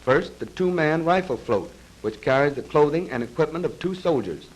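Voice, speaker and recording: A man reads scripted narration for a 1930s military training film in a formal, measured, precise manner, on a vintage film soundtrack. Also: a little high, animated, slightly rough, rough recording. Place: voice-over recorded for a film.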